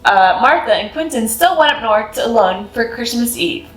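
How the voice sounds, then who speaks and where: A woman's voice: a little high, animated, normal speed. Woman reading a children's story in a roomy space. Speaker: host of a children's read-aloud series. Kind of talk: expressive storybook narration for children. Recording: roomy echo with music behind. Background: music.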